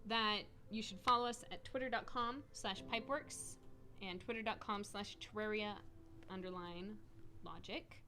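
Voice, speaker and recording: A woman's voice: medium pitch, fairly even intonation, moderate pace, pushed and bright. A young adult woman hosting a casual game livestream, upbeat and laughing often as she chats. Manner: giggly, upbeat. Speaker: stream host. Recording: faint background piano music.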